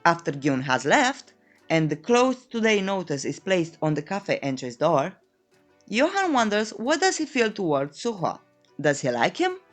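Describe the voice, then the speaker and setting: A woman's voice: lowish voice, big pitch swings, unhurried. A woman narrating a scripted comedic story recap in a playful, tongue-in-cheek, theatrical storytelling manner. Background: background music.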